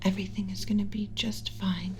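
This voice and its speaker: A woman voicing a doting alien mother in an ASMR roleplay, speaking softly and often in a whisper, deliberate, soothing and clinical yet tender. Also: medium pitch, flat delivery, a little hiss or hum, natural voice. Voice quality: breathy, soft, often whispering. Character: doting alien mother lecturing on her human pet.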